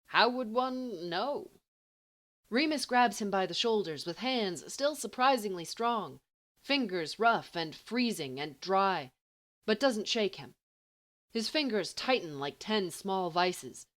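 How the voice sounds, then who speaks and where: A woman's voice: medium pitch, expressive, a little slow. A woman narrating scripted fiction as an audiobook reader.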